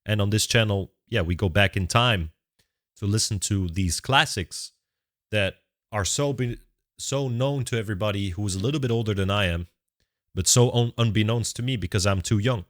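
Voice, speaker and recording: Young man, deep voice, dry close sound, casual reaction chat, thinks aloud. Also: moderate pace, dry recording. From Netherlands. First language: Dutch. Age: 20s. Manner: thinks aloud, restarts words mid-sentence. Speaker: music reaction channel host.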